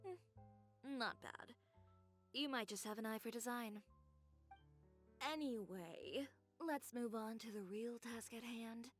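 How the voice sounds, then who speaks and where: A woman's voice: a little high, slow pace, long pauses. A woman voicing a composed, self-assured young fashion designer in a video game, with a poised, matter-of-fact delivery. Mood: businesslike. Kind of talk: video game character acting. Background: game music.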